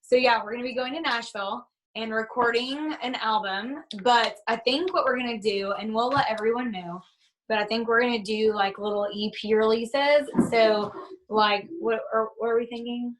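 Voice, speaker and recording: A young woman, a band member, chatting casually in an interview, bubbly and quick to laugh and agree. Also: fairly high pitch, recorded from a distance, low-quality recording.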